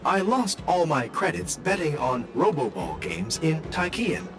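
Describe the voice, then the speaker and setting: A man voicing a luckless, scheming gambler townsman chatting in a game, with a creaky voice. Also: a little high, very animated, a little slow, music in the background, heavily acted. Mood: resigned, scheming. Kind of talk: acted game side-character chatter.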